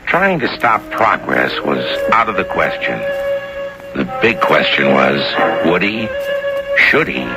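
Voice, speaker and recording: Host-narrator for vintage radio play: middle-aged man, extremely deep voice, measured storytelling, prominent music bed. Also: sing-song, poor audio. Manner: measured, rhetorical, suspense-building. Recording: old radio broadcast, music underneath.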